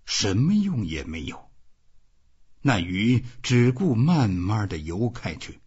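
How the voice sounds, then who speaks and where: A male audiobook narrator with a fairly low, very husky voice. He reads literary prose dramatically, with big swings of pitch. The sound is slightly dull and muffled. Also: very animated, takes it slowly, darkish tone. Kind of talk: expressive scripted literary narration.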